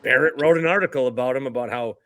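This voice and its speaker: A man's voice: mid-range voice, quick pace, pushed and bright, no echo. Casual male sports podcast host, dry close sound.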